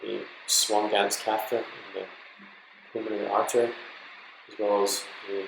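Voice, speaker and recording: A man's voice: lowish voice, level tone, normal speed, creaky, reverberant, background noise. A man giving an informal, explanatory slide lecture to trainee doctors, talking them through examples in an instructive way. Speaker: medical lecturer.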